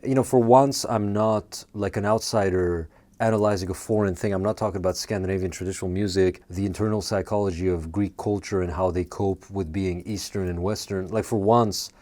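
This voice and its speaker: Deep-voiced earnest male video essayist. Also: fairly even intonation, dark tone. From Quebec. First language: French. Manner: earnest and measured, with frequent fillers. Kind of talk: personal monologue to camera.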